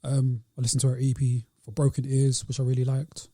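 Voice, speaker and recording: A man with a British accent talks in a relaxed, mellow voice. He co-hosts a podcast and keeps it casual and slangy. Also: mid-range voice, fairly quick. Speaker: podcast co-host.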